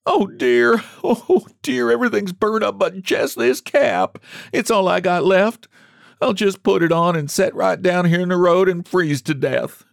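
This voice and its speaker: A man's voice: sits high, sing-song, a little slow, vocal fry, no echo, clean studio sound, voice pushed up, heavily acted. A man voicing a despairing, stubborn older country woman who laments over and over in rustic dialect. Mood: wailing despair. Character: wailing, helpless older country mother-in-law, despairing and stubborn.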